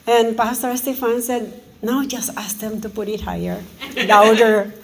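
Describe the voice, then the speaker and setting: Soft-voiced woman preaching and praying. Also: on the high side, little pitch movement, vocal fry, slight background noise, low-quality recording. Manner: earnest, with halting restarts and repeated "oh Lord". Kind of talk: sermon and spoken prayer.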